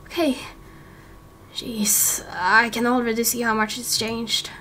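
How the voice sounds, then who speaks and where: A woman's voice: on the high side, flat delivery, slow, sharp tone, a little hiss or hum. A woman voicing a timid, anxious young man in a game roleplay, speaking hesitantly with stammers. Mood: wistful, uneasy. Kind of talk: amateur game roleplay acting.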